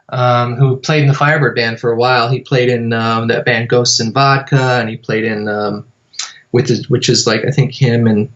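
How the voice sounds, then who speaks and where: A man's voice: medium pitch, highs cut off. A man as a podcast guest, telling his story in a rambling way with many fillers and restarts. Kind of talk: casual storytelling interview.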